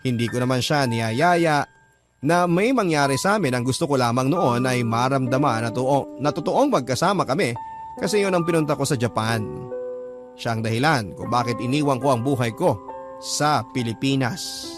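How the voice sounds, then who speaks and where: A man narrating his own love story as a letter to a radio host, measured and confessional. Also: mid-range voice, over music.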